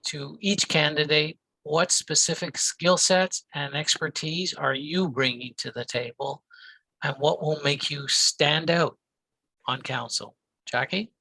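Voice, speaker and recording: A man's voice: fairly high pitch, fairly even intonation, a little slow, creaky, pushed and bright, poor audio. A man with a Canadian accent moderating a debate, reading out questions and handing the floor over briefly. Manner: plain, brief handoffs.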